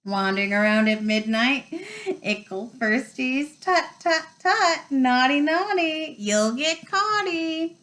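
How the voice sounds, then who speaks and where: A woman's voice: high-pitched, expressive, slow, fairly bright tone, voice pushed up, full character voice. A woman voicing a gleeful, taunting male poltergeist from a storybook in a sing-song, mock-babyish way.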